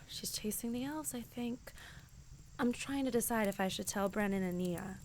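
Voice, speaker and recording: A woman plays a caring, worried American high school girl. Her voice is mid-pitched with noticeable creak, her delivery naturalistic and tentative, with faint night insects in the background. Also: normal speed, darkish tone, a little hiss or hum, close to an everyday voice, poor audio. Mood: worried, gentle. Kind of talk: naturalistic audio drama acting.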